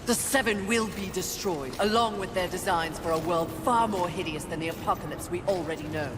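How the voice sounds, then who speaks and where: A woman's voice: fairly low pitch, brisk, over music, lightly acted. An actress playing a fierce, proud female warrior, speaking curtly with defiant determination. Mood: impatient. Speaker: video game character. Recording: game audio with clear background noise.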